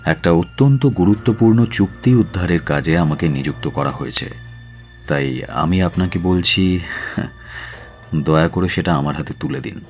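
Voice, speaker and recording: A shrewd detective with a very low voice, composed and in command. He speaks deliberately, lingering over hesitations, in radio drama style with music clearly playing underneath. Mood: firm, persuasive. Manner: measured, deliberate, with drawn-out hesitations.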